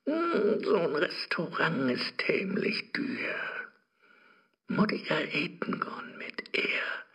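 An actor playing a flustered, excitable devil in a radio comedy, whining and muttering with a Northern German accent. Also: male voice, fairly high pitch, slow, husky, whispered, full character voice, low-quality recording. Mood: suspicious muttering. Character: flustered, excitable male devil Mephisto, thwarted by technology.

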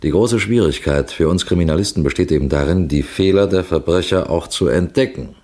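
An actor playing an experienced police detective who lectures listeners in formal, measured phrases, in the style of 1960s German radio drama. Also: male voice, low-pitched, dark tone, natural voice. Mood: assured, lecturing.

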